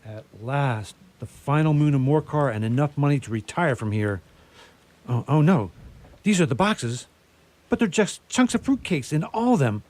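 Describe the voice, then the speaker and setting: A man's voice: a little high, animated, normal speed, a little mellow, natural voice. A man playing a testy, scheming restaurant crook in radio theatre, with a flustered, desperate manner.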